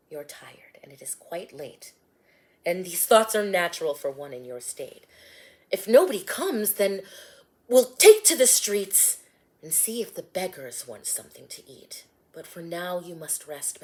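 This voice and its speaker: A woman voicing a gentle, devoted young husband in a rhyming storybook, speaking soft and low, near a whisper. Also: animated, unhurried, rough recording. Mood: soothing.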